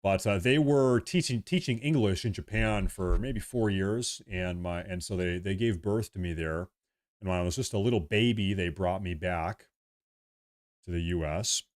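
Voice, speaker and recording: A young man from the United States hosts a loose livestream, talking straight to his viewers. His voice sits very low, and he rambles, circling back over the same phrases as he reads chat and fills time. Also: moderate pace. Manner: rambling, repeats himself, reads chat aloud. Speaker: livestream host.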